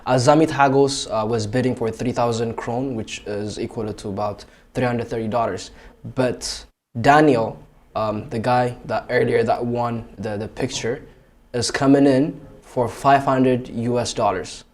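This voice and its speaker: A man's voice: mid-range voice, fairly even intonation, unhurried. A man hosting a livestream charity auction, chatty and upbeat as he calls out bids. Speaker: auction host.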